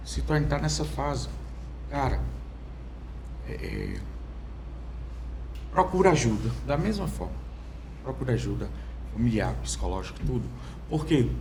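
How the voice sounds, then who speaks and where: A young Brazilian man speaking as a podcast guest, telling his own story in a halting way, with many fillers and restarts. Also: medium pitch, vocal fry, a little mellow, slight background noise. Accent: Brazilian Portuguese. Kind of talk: personal testimony in conversation. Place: podcast studio.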